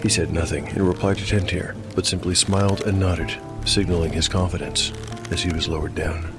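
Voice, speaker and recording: A man with a deep voice narrates a fantasy audio drama as its game master. His delivery is scripted storytelling, set over clearly audible music. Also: fairly even intonation, brisk.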